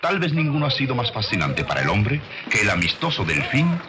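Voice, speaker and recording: A man's voice: medium pitch, very animated, brisk, background noise. A man delivering scripted nature documentary narration in a didactic manner, addressing the listener as a teacher would. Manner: didactic, rhetorical questions to the listener.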